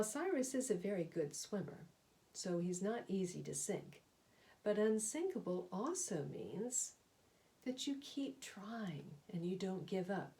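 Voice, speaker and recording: A woman storyteller addressing young children, introducing and reading a picture book in an expressive, explanatory way. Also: fairly low pitch. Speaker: children's read-aloud storyteller.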